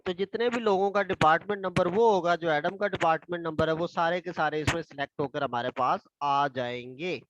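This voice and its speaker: A hoarse man, a tutor slowly reading exam questions aloud and explaining them step by step. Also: a little high, a bit bright, dull audio. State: hoarse from a throat infection.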